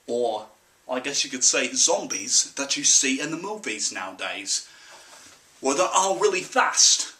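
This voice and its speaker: Young farm lad, melodramatic, panicked storyteller, mixed Australian-Irish-English accent, bright forceful voice, distant from the mic. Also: male voice, fairly high pitch, pitched above the performer's own voice, exaggerated voice. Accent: put-on mix of Australian, Irish and English. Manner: melodramatic, drawn-out emphasis. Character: excitable young farm lad telling a panicked monster tale. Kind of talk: theatrical comic audition monologue. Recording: roomy camcorder sound, distant from mic.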